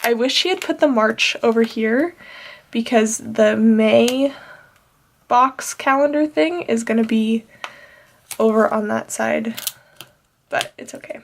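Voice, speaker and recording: A woman's voice: a little high, slow, vocal fry, long pauses, slight background noise. A young woman with a nasal, stuffed-up voice, chatting casually and rambling with fillers while she plans. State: nasal from allergies. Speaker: planner vlogger. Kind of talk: casual plan-with-me chat.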